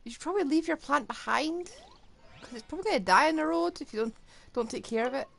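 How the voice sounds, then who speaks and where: A woman's voice: sits high, lively intonation, fast talker, creaky. A woman giving casual live commentary on a game she is playing, with offhand, wry asides. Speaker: game streamer.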